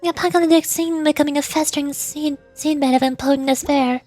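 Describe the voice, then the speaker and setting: High-pitched woman streaming a game. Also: fairly even intonation, brisk, darkish tone, faint music behind. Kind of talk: live game commentary and reading on-screen text aloud.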